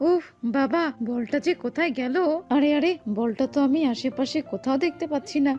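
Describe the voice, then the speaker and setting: Woman as cartoon father fox, high voice, anxious, exclamatory, dull audio, music behind. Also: brisk, mellow, lightly acted. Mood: annoyed, puzzled. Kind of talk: cartoon dub acting.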